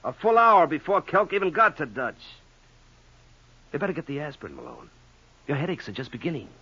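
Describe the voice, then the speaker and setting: An actor playing a wry, blunt, skeptical police lieutenant in 1940s radio drama style. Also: male voice, on the high side, sing-song, brisk, slight background noise. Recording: dull lo-fi old radio recording.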